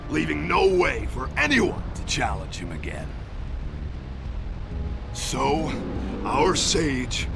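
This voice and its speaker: A whiny, melodramatic washed-up warrior. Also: male voice, fairly high pitch, vocal fry, full character voice. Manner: pompous, theatrical, whining. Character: melodramatic, cowardly male village warrior, self-pitying. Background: game music.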